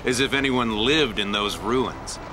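A man's voice: on the low side, animated, unhurried, noisy background. An actor playing a sneering male rival athlete in a video game, talking in a dismissive, taunting manner. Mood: mocking. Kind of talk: video game cutscene acting. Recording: music behind the voice.

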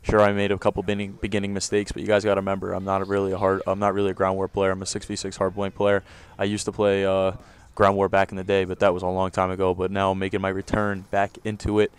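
A young man with a deep voice giving loose, rambling commentary over his own gameplay, recorded on a close home mic. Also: monotone, fast talker, clear, resonant tone. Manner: rambling, self-correcting, loose slang. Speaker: gaming commentator.

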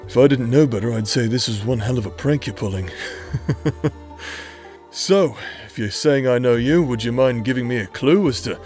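Man playing a young-brother knight captain, brash, bantering, sarcastic, medium-pitched, anime audio roleplay, soft music behind. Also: normal speed, lightly acted. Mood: frank, reassuring. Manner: informal, bantering, playfully sarcastic. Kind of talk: anime-style romance audio roleplay acting. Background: background music.

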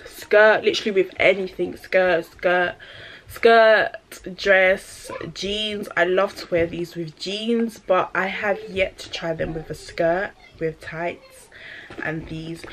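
A woman, chatting casually in a product review vlog, a little rambling and full of fillers, her voice slightly deepened by a cold. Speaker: vlogger. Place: small bedroom indoors.

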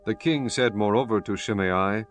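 A man's voice: on the low side, normal speed. A man giving scripted narration for a dramatized Bible recording, telling the story in a solemn, formal manner. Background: soft background music.

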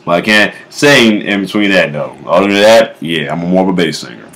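Laughing low-voiced man on a webcam. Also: expressive, brisk, fairly bright tone. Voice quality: low bass voice. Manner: laughs a lot, breaks into off-key singing, swears. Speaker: YouTuber reacting to memes. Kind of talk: casual reaction video. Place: home kitchen, indoors. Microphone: webcam at close range.

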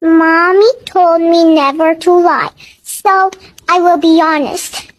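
A woman's voice: very high-pitched, steady tone, slow pace. A woman playing a sweet little child who is bluntly honest, in an innocent cartoon manner. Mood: innocent bluntness. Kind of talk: cartoon skit acting.

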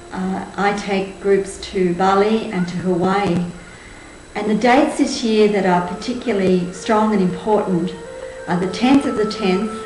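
A woman's voice: medium pitch, a little mellow. Woman in her sixties, echoey room. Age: 60s. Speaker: spiritual group tour leader and presenter. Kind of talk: spoken presentation to camera. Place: indoors, small room with cloth backdrop. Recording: roomy echo, faint background noise.

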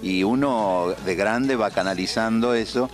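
Low-voiced Argentine man, measured and earnest. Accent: Argentine Spanish. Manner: measured, earnest, with frequent "eh" pauses. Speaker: TV host and producer as guest. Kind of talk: casual interview. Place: TV studio set, on a couch. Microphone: handheld mic held by interviewer.

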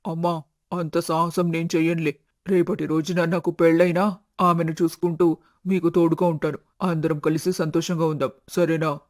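A man voicing a loyal, unmarried younger son in an animated family story, affectionate and deferential. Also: on the high side, normal speed, no echo, clean studio sound, lightly acted. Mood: loyal, light. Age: young adult.

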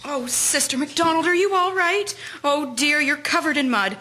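A woman's voice: high-pitched, normal speed, noisy background, lightly acted. A woman playing an anxious, caring field nurse who fusses over others in a radio drama. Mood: alarmed, fussing.

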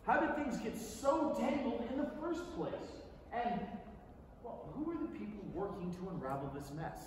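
A distant recording in a big, very reverberant theatre carries an American man in middle age, reading aloud from the stage. His voice sits fairly high, and he performs the text conversationally, leaning on key words and pausing for effect. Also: very animated, noisy background. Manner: conversational, deliberate, theatrical delivery with emphatic pauses. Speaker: stage reader performing a book. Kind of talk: dramatic staged reading to an audience. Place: large theatre stage, indoors. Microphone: far audience camera.

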